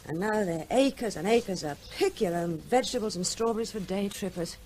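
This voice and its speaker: An actress playing a vet's playful grown daughter in a vintage radio drama, young and animated. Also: female voice, medium pitch, moderate pace, natural voice, low-quality recording. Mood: lively. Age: young adult. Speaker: Tess, the daughter.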